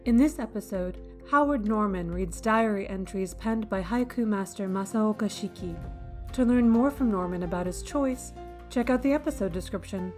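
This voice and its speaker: A woman's voice: mid-range voice, normal speed. A woman announcer reading a scripted podcast introduction. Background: music.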